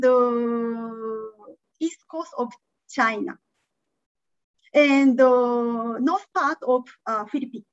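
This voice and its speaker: A woman's voice: high-pitched, a bit bright, dry recording, highs cut off. A woman, not a native English speaker, giving an academic conference talk over a video call, with many hesitant fillers. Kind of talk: online academic presentation. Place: indoor room.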